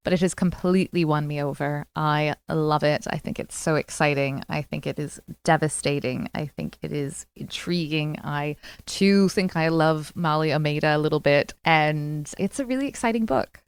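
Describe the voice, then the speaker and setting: A woman's voice: low-pitched, normal speed, few pauses, clear, resonant tone, no echo. A woman co-hosting a podcast, chatting casually and frankly about books with strong opinions. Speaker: book club podcast co-host. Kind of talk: casual book chat.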